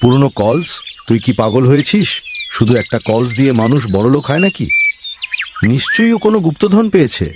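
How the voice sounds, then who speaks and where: Casting: male actor as scheming, bossy village boss for a cartoon, very lively pitch swings, faint insect ambience. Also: a little hiss or hum, close to an everyday voice. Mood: bossy, scornful. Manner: bossy, scolding, conspiratorial. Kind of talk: cartoon voice acting.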